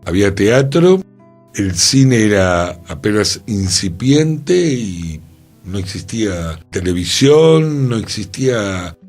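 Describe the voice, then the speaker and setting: Slow, low-voiced radio co-host. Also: male voice, very animated, darkish tone, faint music behind. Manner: halting, fragmented phrasing. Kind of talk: radio talk about theater history.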